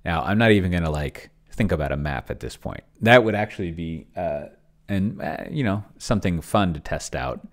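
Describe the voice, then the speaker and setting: A man's voice: low voice, expressive, normal speed. A middle-aged man casually commenting on a live stream, thinking aloud and muttering in fragments as he types. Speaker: streamer and solo role-player. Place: small indoor home room. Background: computer keyboard typing.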